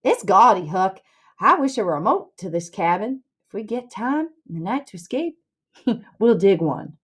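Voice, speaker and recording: A woman's voice: mid-range voice, big pitch swings, moderate pace, slightly rough. A woman voicing a bossy, grandiose boy schemer in a storybook reading, lecturing scornfully in rustic dialect. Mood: excited, scheming.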